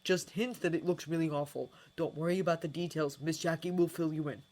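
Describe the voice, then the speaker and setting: A teenage boy wizard with a low, earnest voice, read by a woman. He talks urgently. A storybook character. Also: fairly even intonation, brisk, darkish tone.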